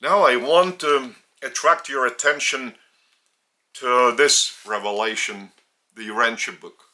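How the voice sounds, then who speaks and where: A man with a Lithuanian accent, a native Lithuanian speaker, giving a spiritual teaching in a deliberate, halting way with emphasis on key words. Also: medium pitch, sharp tone. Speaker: spiritual teacher and religious leader. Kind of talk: spiritual sermon addressed to camera. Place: indoors.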